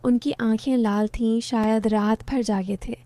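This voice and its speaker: A woman narrating a first-person story in a steady storytelling tone. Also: fairly high pitch, a little slow, no room sound.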